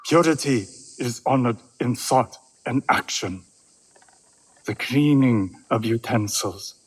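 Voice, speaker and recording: A serene, elderly tea master from a historical video game. His voice is husky and unhurried, each phrase set down gently and deliberately. He speaks as a gracious mentor. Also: mid-range voice, full character voice. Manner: measured, gentle, deliberate phrasing with soft chuckles. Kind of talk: video game cutscene acting.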